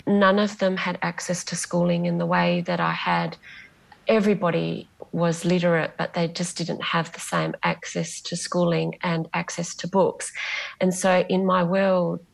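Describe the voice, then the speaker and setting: A middle-aged Australian woman whose first language was Greek, speaking as a novelist guest on a podcast in a measured, reflective way. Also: lowish voice, flat delivery, vocal fry, rough recording. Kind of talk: podcast interview.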